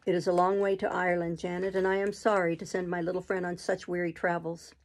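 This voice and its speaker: Brooding gentleman master read by a woman, middle-aged man, earnest, gravelly undertone, classic audiobook. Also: fairly low pitch, little pitch movement, fast talker, close to an everyday voice. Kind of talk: audiobook reading of a classic novel.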